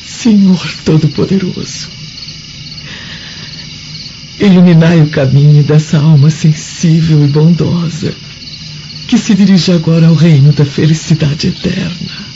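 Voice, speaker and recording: An actress playing a gentle, devoted Roman senator's wife in a radio drama, with tender, reverent, drawn-out delivery. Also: female voice, low voice, noisy background. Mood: solemn prayer. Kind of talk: radio drama acting, slow solemn recitation. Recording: dull lo-fi, clear music behind.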